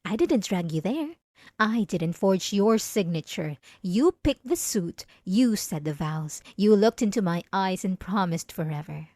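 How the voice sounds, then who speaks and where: A woman's voice: medium pitch, animated, darkish tone, no room sound, clean studio sound. A woman playing a possessive, obsessive wife in an intimate, theatrical ASMR roleplay monologue. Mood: menacing, bitter. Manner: intimate, theatrical, drawn-out emphatic phrases. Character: possessive, obsessive yandere wife confronting her spouse.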